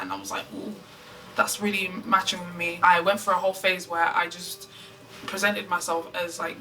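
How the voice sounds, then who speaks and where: A young non-binary speaker talks thoughtfully, in a clear and articulate way. The voice has a bright tone, and the mic sits at some distance. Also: medium pitch, a little hiss or hum. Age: 20s. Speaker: interviewee, activist. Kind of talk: documentary interview.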